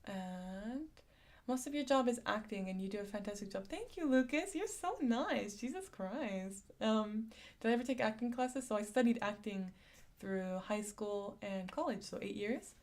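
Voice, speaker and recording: A woman's voice: medium pitch, animated, normal speed, a little mellow. A young woman from San Diego with a lisp, chatting casually on a live stream, reading chat comments aloud and laughing often. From San Diego, USA.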